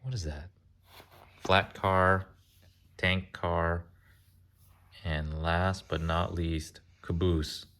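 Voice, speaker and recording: A man reading a children's picture book aloud in a casual way, pausing to chat, ask questions and explain. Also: low voice, flat delivery, a bit bright. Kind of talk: casual read-aloud with chatty asides.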